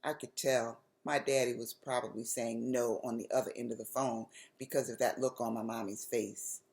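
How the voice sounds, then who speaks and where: Very deep-voiced American woman reading a story. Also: fairly even intonation, a little mellow. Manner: deliberate, dramatic phrasing. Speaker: author reading aloud to children.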